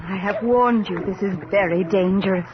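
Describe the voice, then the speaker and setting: An actress playing a solemn, eerie witch who gives deliberate warnings and incantations in a horror radio drama. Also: mid-range voice, lightly acted. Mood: ominous. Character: solemn, eerie witch conducting a dangerous night-time séance. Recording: dull, noisy old radio drama tape.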